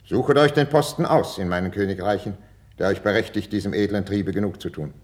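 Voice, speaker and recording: A man's voice: fairly low pitch, brisk, lightly acted. An actor playing a stern, suspicious king in a classical radio drama, speaking with weighty, formal authority. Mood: measured, condescending. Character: stern, commanding king, measured, suspicious, majestic. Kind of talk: 1950s German radio drama, classical verse acting.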